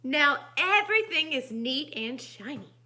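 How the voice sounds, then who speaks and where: A woman's voice: high-pitched, very animated, unhurried, sharp tone, pitched above the performer's own voice, full character voice. A woman voicing a house-proud, busy farmer's wife from a children's storybook, in an emphatic, exclamatory manner. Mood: satisfied.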